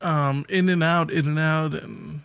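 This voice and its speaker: A man's voice: a little high, steady tone, a little slow, creaky, a bit bright, smooth, no echo. A man co-hosting a casual podcast with wry, hesitant commentary. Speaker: podcast co-host. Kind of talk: casual chat. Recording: noisy recording with clicks.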